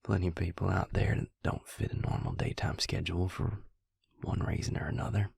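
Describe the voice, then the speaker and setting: A man playing a devious vampire posing as a suave dentist in an intimate audio roleplay, talking softly and reassuringly to the listener in a breathy, gravelly half-whisper. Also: low voice, steady tone, no room sound, clean studio sound, heavily acted. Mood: smooth, welcoming. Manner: soft-spoken, slow, reassuring bedside patter. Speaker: dentist character. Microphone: close, dry microphone.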